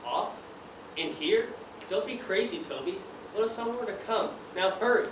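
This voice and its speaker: A man's voice: high voice, normal speed, lightly acted. A man on stage playing a bossy but nervous, blustering schemer. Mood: snappy, urgent. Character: bossy, nervous male schemer caught sneaking. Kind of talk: amateur stage acting, one-act school play. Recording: echoey stage, distant mic, clear noise.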